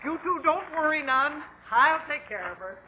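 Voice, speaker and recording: An older country grandmother in an old radio play, with folksy rural American speech that swings widely in pitch. She is protective and tender, yet blunt with enemies, and the muffled recording hisses heavily. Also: lively intonation, normal speed. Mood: reassuring. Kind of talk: 1950s American radio drama acting. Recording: scratchy, noisy, muffled 1950 radio recording.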